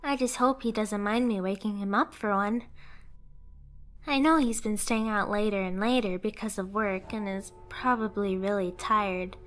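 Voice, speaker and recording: A woman's voice: medium pitch, moderate pace, fairly bright tone, faint music behind, natural voice. A woman voicing a devoted, submissive young catgirl pet in an ASMR romance roleplay, tender, earnest and pleading. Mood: fond, restless.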